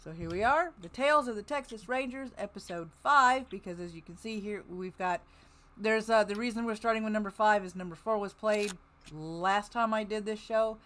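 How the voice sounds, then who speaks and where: A woman with a middle-pitched voice hosts in a casual way. She moves between loose chat and plainly read show notes. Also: normal speed, a bit bright. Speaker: host of an old-time radio listening show. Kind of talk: casual hosting, reading show notes aloud.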